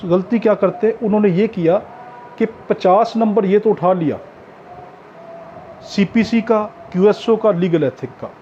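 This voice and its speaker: Pakistani male tutor, high-pitched mellow voice, informal instructive lecture delivery. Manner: instructive, repeats key points for emphasis. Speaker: law exam tutor. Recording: dull lo-fi audio with background noise.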